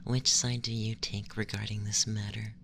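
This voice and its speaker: A man voicing a young, effeminate, clinically curious alien abductor in a soft, hushed, breathy ASMR roleplay, questioning formally in a measured, detached way. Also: fairly low pitch, flat delivery, a little hiss or hum. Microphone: close microphone.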